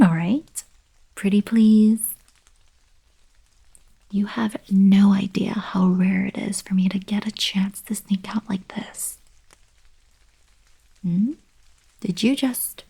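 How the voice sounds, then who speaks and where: A young woman playing a friendly, eager alien princess in an ASMR roleplay, soft and whispery, with casual girlish coaxing. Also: mid-range voice, gravelly, slightly rough, exaggerated voice. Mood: delighted. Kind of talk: ASMR roleplay, intimate acted monologue.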